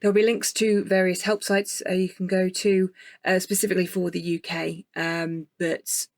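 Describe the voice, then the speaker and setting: A woman with a slightly low voice hosts a casual podcast. Her voice sounds dry and close, with little room around it. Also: little pitch movement, normal speed, no room sound. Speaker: podcast host.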